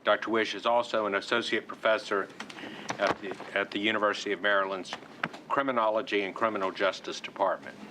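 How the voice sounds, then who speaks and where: A man chairing a hearing, introducing witnesses in a brisk, procedural way. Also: fairly low pitch, steady tone. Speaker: commission chair. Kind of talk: formal introductions. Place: hearing room. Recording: noisy hearing room recording.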